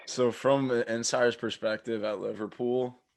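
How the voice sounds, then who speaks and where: A man's voice: medium pitch, steady tone, normal speed, vocal fry. A man co-hosting a podcast, asking loose, casual questions with a light laugh. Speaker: podcast co-host.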